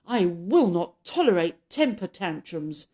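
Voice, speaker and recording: A woman voicing a kind but stern old granny in a children's storybook, firm and deliberate. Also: medium pitch, sing-song, warm tone. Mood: stern, cross.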